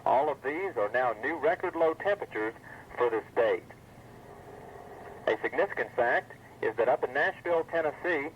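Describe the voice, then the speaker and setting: Male announcer for scripted weather reports, muffled lo-fi radio recording, faint noise underneath. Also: medium pitch. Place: broadcast over weather radio.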